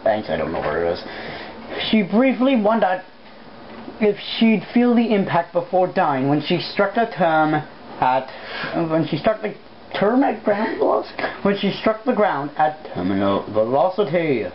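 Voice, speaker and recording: Female amateur narrator, deep for a woman, casual and blunt, recorded muffled and noisy at home. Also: sing-song, measured pace. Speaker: reader. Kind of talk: amateur fan fiction narration with casual asides.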